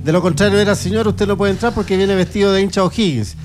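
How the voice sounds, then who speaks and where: A man's voice: sits high, fast, noisy background. A man with a Chilean accent hosting a live football broadcast, chatting conversationally and stating his opinions plainly. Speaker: sports radio host.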